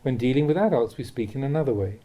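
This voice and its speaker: A man reading a Buddhist teaching aloud as instructive narration, with measured, deliberate phrasing. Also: medium pitch, lively intonation, mellow, low-quality recording. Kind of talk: read-aloud dharma talk, instructive narration.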